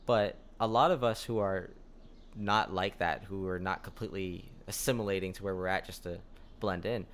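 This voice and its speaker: A young man with a fairly low voice, talking in an easygoing, rambling way and leaning on lots of fillers. He sounds like a podcast guest in casual conversation. A faint hiss sits behind him. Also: moderate pace. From United States. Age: young adult. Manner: relaxed, rambling storyteller with frequent fillers.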